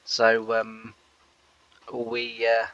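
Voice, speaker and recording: A man's voice: mid-range voice, monotone, drawn-out words, fairly bright tone, dry recording, muffled recording. A man, nasal from a cold, rambling through casual gameplay commentary with many fillers. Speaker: gameplay host.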